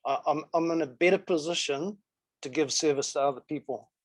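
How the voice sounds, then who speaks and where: A man interviewing in a conversational way, pausing mid-thought as he gathers his words. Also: on the high side, no room sound.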